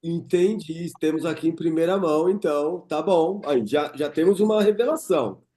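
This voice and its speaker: A man's voice: fairly high pitch, moderate pace, mellow. A man in his thirties from Brazil hosting a podcast, chatting casually and reminiscing with his guest. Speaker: podcast host. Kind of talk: casual interview chat.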